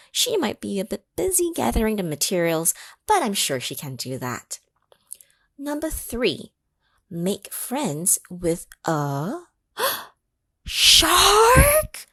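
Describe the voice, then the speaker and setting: A woman reading a picture book aloud to children, pausing to ask them questions and sometimes dropping to a whisper. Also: mid-range voice, slightly rough, dry recording. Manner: animated, asks the listening children questions; drops into whispers for effect. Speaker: children's story reader. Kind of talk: read-aloud storytime for children.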